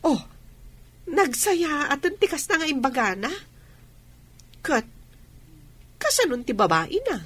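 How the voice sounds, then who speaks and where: A woman acting in a radio drama as a chatty female friend, animated and teasing. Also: high voice, measured pace, long pauses, exaggerated voice. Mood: teasing, delighted.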